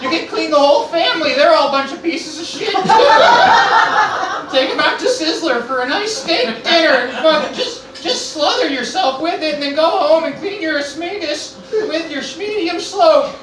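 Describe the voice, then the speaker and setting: A performer playing a crude, gleefully self-deprecating pitchman in an improvised parody advertisement. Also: animated, normal speed. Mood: gleeful silliness. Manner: laughing while talking, crude. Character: goofy, gleefully self-deprecating, foul-mouthed commercial pitchman. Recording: echoey room, distant mic, loud noise.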